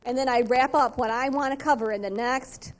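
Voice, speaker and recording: A middle-aged woman, giving an upbeat, precise and animated sales training talk at a convention. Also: on the high side, a little fast.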